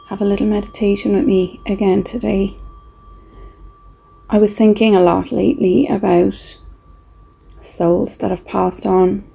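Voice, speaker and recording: Female meditation guide: unhurried, husky and breathy, soft reflective delivery with pauses. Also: mid-range voice, low-quality recording.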